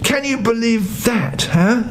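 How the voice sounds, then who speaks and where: A man's voice: extremely high pitch, expressive, takes it slowly, slight background noise, voice pushed up, exaggerated voice. A man voicing a grumpy, self-pitying and proud talking magic stone in a storybook, with an indignant, complaining manner.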